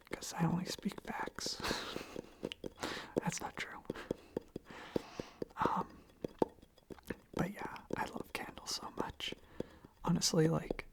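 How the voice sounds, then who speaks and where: Someone rambling softly in a breathy, husky ASMR whisper close to the microphone, casually repeating little phrases. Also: medium pitch. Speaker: ASMR video creator.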